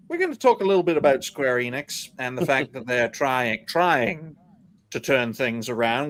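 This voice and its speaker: A man's voice: medium pitch, fairly bright tone. A man hosting a casual podcast panel who makes irreverent jokes and steers the talk between guests. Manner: irreverent, joking, leads the talk. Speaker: podcast host.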